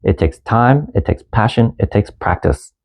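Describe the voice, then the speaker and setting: A man in his thirties who grew up in Canada, narrating a personal story as a language teacher in a calm, earnest way and mixing two languages. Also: on the low side, moderate pace, gravelly. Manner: calm, earnest storytelling, switching between Vietnamese and English. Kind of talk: scripted lesson narration.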